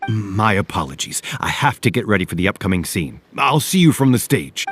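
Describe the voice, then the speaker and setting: An earnest troupe leader. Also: male voice, on the low side, fairly quick, soft background music. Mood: friendly. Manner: earnest and apologetic, easily excited. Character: friendly, apologetic male troupe leader. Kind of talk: video game cutscene dialogue.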